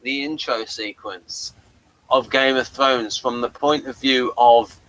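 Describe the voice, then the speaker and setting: A man hosting a casual fan review chat, talking in an animated way and often restarting his phrases. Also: mid-range voice, slow, low-quality recording. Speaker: host of a fan review channel.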